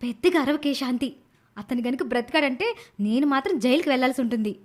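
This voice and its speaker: Woman playing desperate female plotter, high voice, urgent, dramatic, animated story. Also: fast. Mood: urgent confessing. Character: scheming, desperate young woman who plots a killing. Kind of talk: animated moral story voice acting.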